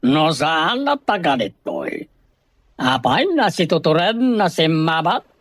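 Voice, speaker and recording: An actor playing a haughty, insulting boss who scolds with contempt, in Filipino radio drama style. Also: male voice, on the high side, very animated, slow pace, fairly bright tone, no echo, exaggerated voice. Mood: contemptuous.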